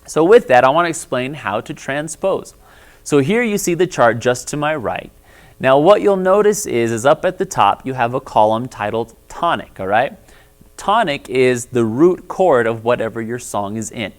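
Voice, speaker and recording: A man with a lower bass-baritone voice, teaching a church music lesson in a friendly, explanatory way and often checking in with "all right?". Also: animated, moderate pace. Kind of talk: instructional video lesson.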